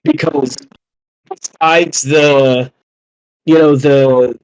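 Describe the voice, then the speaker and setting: Earnest higher-voiced man chatting casually. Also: fairly even intonation, extremely slow, clear, resonant tone, low-quality recording. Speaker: podcast panelist discussing films. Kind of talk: casual chat.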